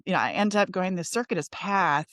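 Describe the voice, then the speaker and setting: A woman's voice: fairly low pitch, animated, fairly quick, fairly bright tone, no echo, studio quality. A middle-aged woman with an American accent tells her story in a candid, informal interview style. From Texas, United States. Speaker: podcast guest, lawyer and coach.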